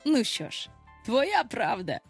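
A woman acts out a cocky storybook boy pretending to be a father. He is playfully pompous and mock-grumpy, with swooping intonation and a husky edge. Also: fairly high pitch, very animated, slow pace, soft background music, full character voice.

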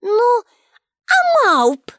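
Woman acting a cheeky high-pitched little girl. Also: huge pitch swings, drawn-out words, fairly bright tone, no room sound, studio quality, exaggerated voice. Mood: cheeky, curious. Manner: playful, breezy, emphatic. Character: cheeky, carefree nine-year-old girl, proud and contrary.

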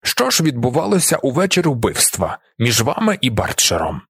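A man voicing a stern presiding judge in a dramatized audiobook, putting formal, measured questions. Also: mid-range voice, huge pitch swings, a bit bright, husky, no room sound, clean studio sound, heavily acted. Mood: stern, official.

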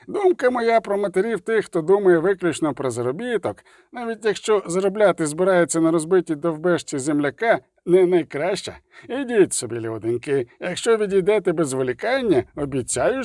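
A man voicing a gruff, mocking dwarf brawler in a fantasy audiobook, blunt, sardonic and threatening. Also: high-pitched, very animated, moderate pace, darkish tone, dry recording, clean studio sound, pitched above the performer's own voice. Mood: menacing.

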